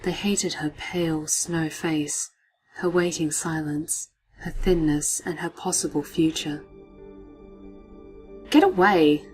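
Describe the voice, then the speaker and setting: Low-voiced woman narrating in hushed tones. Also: level tone, measured pace, faint music behind, rough recording. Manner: hushed, often whispered narration. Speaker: storyteller. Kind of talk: dramatic story reading.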